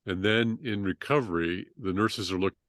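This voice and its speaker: Deep-voiced man telling his story. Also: fairly bright tone, dry recording. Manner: halting, many restarts and fillers. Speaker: trauma surgeon guest. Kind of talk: conversational storytelling interview.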